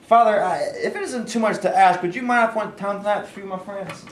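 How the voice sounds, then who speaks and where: A man's voice: high-pitched, fast, natural voice. A man in a staged play reading, playing an eager, respectful twenty-year-old son. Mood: eager, pleading. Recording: echoey room, faint noise.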